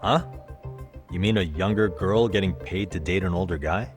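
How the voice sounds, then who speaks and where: Man acting a deep-voiced, dryly wry detective. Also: natural voice. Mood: curious, sceptical. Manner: dry, casual, measured. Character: adult male detective, easygoing, sceptical, dryly wry. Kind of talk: English video game voice acting. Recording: music behind the voice.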